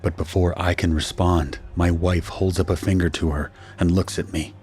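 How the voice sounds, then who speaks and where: Man acting a low-voiced, grave father narrator. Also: steady tone, normal speed, a little mellow, close to an everyday voice. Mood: anguished. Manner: grave, dramatic. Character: anguished husband and father narrating his ordeal. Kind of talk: scripted first-person audio drama narration.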